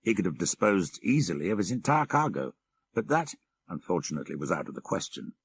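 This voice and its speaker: A male narrator reads a classic tale of horror in a very low voice with a husky grain. His delivery is measured and literary, swinging widely in pitch for dramatic effect. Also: very animated, no room sound. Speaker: audiobook narrator. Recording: 1990s audiobook cassette.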